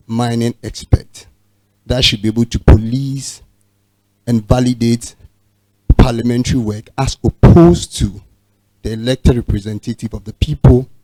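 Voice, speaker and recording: A man's voice: medium pitch, warm tone, no echo, rough recording. A man who is a lawyer asking a panelist a pointed, formal question. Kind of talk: question from the floor.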